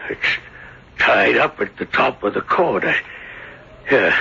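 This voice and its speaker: A dull old radio recording carries a driven, earnest searcher in a haunted mill. His voice is very tense and gravelly, halting and slow as he speaks. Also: mid-range voice, animated, sharp tone, full character voice. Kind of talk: radio drama acting.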